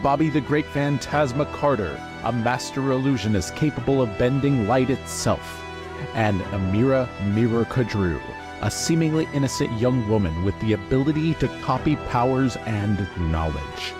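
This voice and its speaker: A man's voice: medium pitch, animated, moderate pace. A man delivering scripted promo narration in a grand, dramatic trailer style. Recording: prominent music bed under voice.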